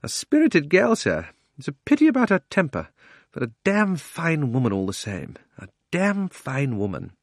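A man voicing a pompous, greedy elderly magician in his sixties, blustering and gloating with shrill exclamations. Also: huge pitch swings, moderate pace, dry recording, studio quality, full character voice. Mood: dreamy. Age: 60s.

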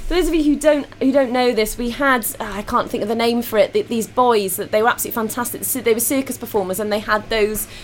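Give me a woman radio host with a fairly high voice, encouraging and chatty. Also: fast talker, hardly any pauses, slight background noise. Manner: warm, encouraging, laughs often. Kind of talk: casual radio interview chat. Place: radio studio.

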